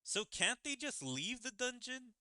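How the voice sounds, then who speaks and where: Bright-voiced man musing over a game. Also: extremely high pitch, big pitch swings, a little slow, clear, resonant tone, no room sound, clean studio sound. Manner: musing, thinking aloud. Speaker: gaming video creator. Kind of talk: casual commentary on a game story.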